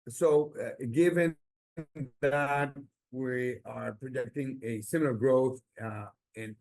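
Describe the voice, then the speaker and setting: Guy exec doing unscripted earnings call answers, kinda halting, repeats words, average pitch. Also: a little mellow. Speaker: company executive answering analyst questions.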